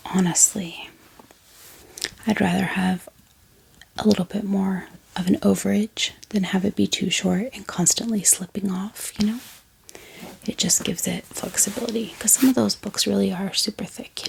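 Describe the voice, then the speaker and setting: A woman speaking in a breathy whisper, gently and unhurriedly, in a casual ASMR show-and-tell style. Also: mid-range voice, steady tone, a little hiss or hum, rough recording. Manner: gentle, unhurried, hesitant pauses. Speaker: ASMR book haul vlogger. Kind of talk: soft-spoken whispered ASMR, casual and unscripted.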